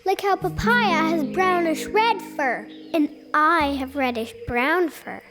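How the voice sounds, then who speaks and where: A woman voicing a curious, cheeky little young ape in an animated storybook, with a blunt, childlike manner. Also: sits high, takes it slowly, music in the background.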